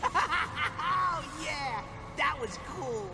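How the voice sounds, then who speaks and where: A woman voicing a small, excitable male robot assistant in a video game, eager, loyal and a bit anxious. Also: high-pitched, very slow, loud music behind the voice, full character voice. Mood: excited. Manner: eager, childlike, blurting. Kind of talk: animated video game dialogue.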